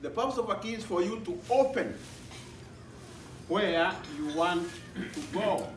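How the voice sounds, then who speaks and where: Kenyan man with a high voice, halting. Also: expressive. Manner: halting, repeats phrases. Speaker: visiting speaker. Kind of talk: informal talk to a club. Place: breakfast meeting hall. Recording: echoey room recorded from far off, noisy.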